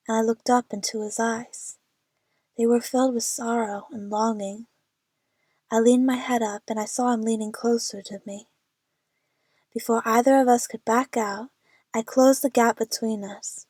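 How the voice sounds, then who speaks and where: Woman as lovestruck, nervous young female narrator for audio drama; higher-pitched, earnest, very dry sound. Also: fairly even intonation, unhurried, mellow, no room sound. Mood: tender, longing. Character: young female movie fan, anxious, lovestruck, narrating her adventure.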